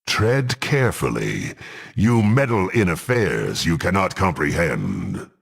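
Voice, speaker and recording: An actor playing a brutal, power-hungry half-orc warrior in a fantasy game, gruff and haughty, with archaic formal phrasing. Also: male voice, low voice, sing-song, slow, full character voice. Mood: menacing contempt. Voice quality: gruff, gravelly. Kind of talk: dramatic fantasy game voice acting.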